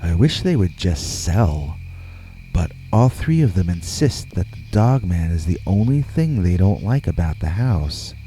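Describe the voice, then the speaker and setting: A man reading a scripted first-person scary story in a measured, deliberate way, with pauses between phrases. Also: low-pitched, big pitch swings, warm tone, faint music behind, low-quality recording. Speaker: horror story narrator.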